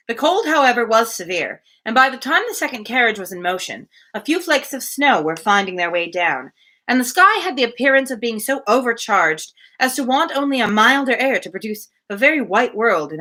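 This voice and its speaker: A woman hosts a live reading of a classic novel. Her voice is bright and very animated in pitch, and she mixes narration with casual asides. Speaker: reader, livestream host.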